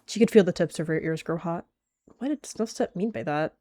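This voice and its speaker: A woman's voice: on the low side, fairly quick, warm tone, no echo. A woman narrating a cat roleplay story in a casual storytelling way.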